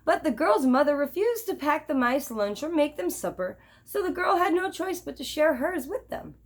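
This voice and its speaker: A woman reads a picture book aloud as a teacher would for children. Her voice sits fairly high and moves up and down a great deal. She is expressive and drops in chatty asides to her young listeners. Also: normal speed.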